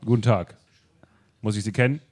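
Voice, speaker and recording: Deep-voiced, condescending detective, a man in the role, lofty and self-important. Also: fairly even intonation, normal speed, a little mellow, close to an everyday voice. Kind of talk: improvised comedy radio play.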